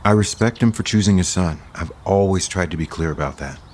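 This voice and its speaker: Very deep, gentle, earnest man, an actor's role. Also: fairly quick, slight background noise, lightly acted. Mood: hesitant, earnest. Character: gentle, earnest, considerate man, a returning old flame. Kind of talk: audio soap opera acting.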